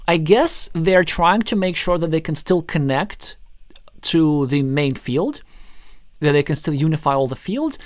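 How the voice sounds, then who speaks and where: Guy with russian as first language commentating a board game, bit high pitched, works through moves out loud, really dry sound. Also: animated, measured pace, no room sound. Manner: analytical, thinking aloud. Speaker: tournament commentator.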